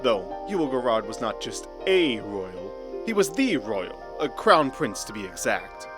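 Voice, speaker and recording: A man's voice: medium pitch, very animated, a little slow. Male fantasy narrator over prominent music. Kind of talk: scripted fantasy story narration.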